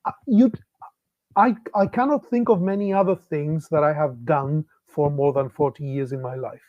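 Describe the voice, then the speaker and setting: A man's voice: fairly high pitch, normal speed, no room sound, dull audio. A middle-aged man from Argentina, a Spanish speaker, giving an online talk to English teachers in a reflective way, posing many rhetorical questions.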